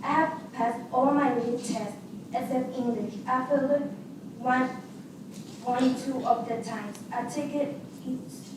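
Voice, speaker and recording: Young woman from Honduras, fairly high voice, earnest, halting English, echoey hall from afar. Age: young adult. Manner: earnest, slightly halting non-native English. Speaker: student, public commenter. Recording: echoey hall, far from mic, noise.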